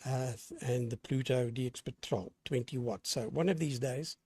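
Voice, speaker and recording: Hoarse gravelly man running a radio net. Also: medium pitch, moderate pace, a little mellow, no echo. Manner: enthusiastic, uses phonetic alphabet callsigns. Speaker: net controller on amateur radio net. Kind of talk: on-air radio net chat.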